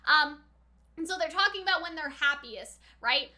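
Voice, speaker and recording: A young woman with a very high, bright voice hosts a reaction video. She talks in a chatty, informal way, leaning on "like" as she comments on an anime and reads out bits of dialogue. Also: expressive, moderate pace. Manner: chatty, informal, often saying "like". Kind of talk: casual anime reaction commentary with read-out subtitles.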